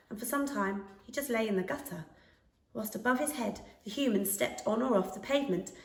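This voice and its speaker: A woman reading a children's story aloud as an expressive narrator. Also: a little high. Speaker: storybook reader. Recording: echoey room, distant microphone.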